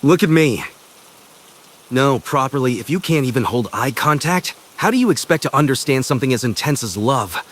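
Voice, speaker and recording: Male actor as confident, possessive professor for intimate ASMR roleplay. Medium pitch, smug, teasing, commanding, deliberate pauses. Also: animated, a bit bright, slight background noise, close to an everyday voice. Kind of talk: boyfriend ASMR roleplay, spoken intimately to the listener.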